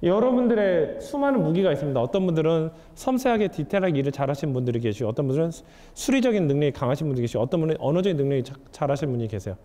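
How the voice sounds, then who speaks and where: A man's voice: fairly high pitch, lively intonation, rapid-fire, hardly any pauses, warm tone. A young adult man giving a lecture, asking the audience questions in a candid, conversational way. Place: lecture hall. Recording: hall reverb.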